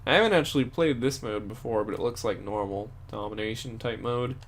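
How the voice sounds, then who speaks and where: A man's voice: mid-range voice, a little fast, a little hiss or hum. Young man streaming with a stuffy nose. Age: young adult. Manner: rambling, lots of fillers and self-corrections. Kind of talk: casual gameplay stream chat.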